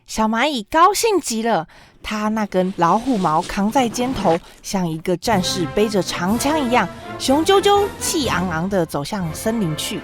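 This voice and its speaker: A young woman narrating a scripted children's story in an expressive, dramatic way. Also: medium pitch, moderate pace, music in the background. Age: young adult.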